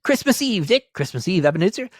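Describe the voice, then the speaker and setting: Casting: jovial old merchant, booming and oily, pitched high, storybook exuberance. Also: male voice, very animated, fast, dry recording, studio quality. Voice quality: rich, oily, fat voice.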